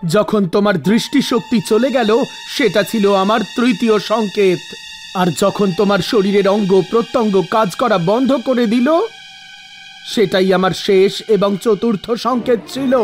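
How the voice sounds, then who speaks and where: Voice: medium pitch, unhurried, lightly acted. Actor playing male death god, stern, authoritative, formal scolding, mythological cartoon, music behind. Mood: stern, rebuking. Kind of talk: animated mythological story dialogue.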